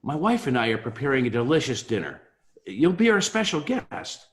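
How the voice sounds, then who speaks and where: A man's voice: mid-range voice, big pitch swings, moderate pace, close to an everyday voice. A man playing a cheerful, earnest nephew in a table read, pleading in an emphatic, theatrical way. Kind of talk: amateur table read of a Victorian Christmas story.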